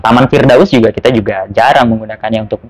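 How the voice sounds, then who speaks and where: A man's voice: on the low side, quick pace. A man hosting an informal educational explainer, chatty and repetitive as he restates words. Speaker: host of a language lesson.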